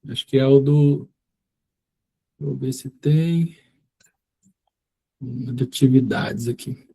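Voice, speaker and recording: A man's voice: mid-range voice, steady tone, takes it slowly, vocal fry, warm tone, breathy edge. A middle-aged man with a Goiás accent, teaching an informal class and rambling, with many fillers and restarts. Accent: Goiás Brazilian Portuguese. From Goiás, Brazil. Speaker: course instructor.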